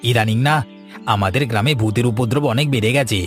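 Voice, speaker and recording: A man's voice: mid-range voice, fairly quick, soft background music, natural voice. A man voicing a timid, deferential village shopkeeper in a cartoon ghost story. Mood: worried. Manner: nervous, deferential. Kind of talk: animated ghost story dialogue.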